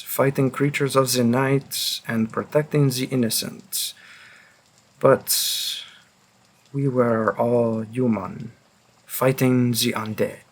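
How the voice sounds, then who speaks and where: Man acting a very slow-talking, menacing vampire hunter. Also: mid-range voice. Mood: somber, reflective. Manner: slow, deliberate, pausing, darkly confiding. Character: centuries-old male vampire who hunts vampires, grim, menacing. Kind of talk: scripted roleplay audio monologue to the listener.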